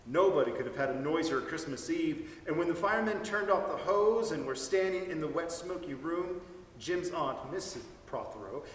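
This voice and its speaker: Man, expressive storyteller, slightly high voice, recorded at a distance in a reverberant hall. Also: slight background noise. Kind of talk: dramatic reading of a story. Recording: echoey hall, mic at a distance.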